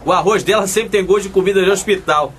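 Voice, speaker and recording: An actor in a comic radio soap, playing an exasperated, wisecracking husband with a sarcastic, exclaiming delivery. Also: male voice, high-pitched, fast talker, a bit bright, slight background noise. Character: nagging, exasperated, wisecracking husband and anxious friend. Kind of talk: comic radio soap opera acting with narration.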